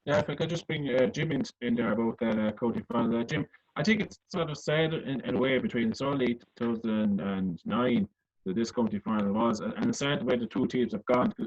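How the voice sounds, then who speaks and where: An Irish man hosts a sports panel on radio, talking fast and informally. He runs on and rephrases himself as he hands over to guests, and the sound is a little dull. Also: medium pitch. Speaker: radio sports show host.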